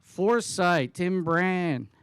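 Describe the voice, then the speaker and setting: Guy running a panel, higher-ish voice, smooth and kinda close and dry, keeps tripping over his words. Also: animated, fairly bright tone, dry recording. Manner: halting, repeats words often. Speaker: panel moderator. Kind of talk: live panel moderating. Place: conference room.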